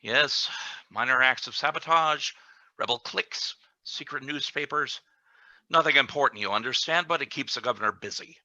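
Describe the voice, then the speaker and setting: An actor playing an officious, guardedly polite colony official in a radio play reading. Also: male voice, medium pitch, normal speed, bright, forceful tone, dry recording, lo-fi sound. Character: smooth, officious, discreetly cautioning male colony official.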